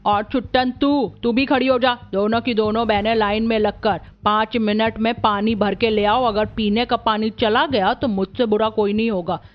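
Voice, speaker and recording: A woman's voice: a little high, steady tone, moderate pace, few pauses, highs cut off, natural voice. Mean nagging aunt done by a woman in a cartoon, harsh n bossy, music under it. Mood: scolding, threatening. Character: cruel, scolding middle-aged aunt bullying her orphaned nieces. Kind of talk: Hindi animated family story dialogue.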